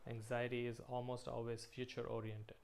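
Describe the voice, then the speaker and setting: Guy doing a guided meditation, slow n soothing, pretty flat pitch, some ambient noise behind him. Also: creaky. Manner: slow, soothing, pausing between short phrases.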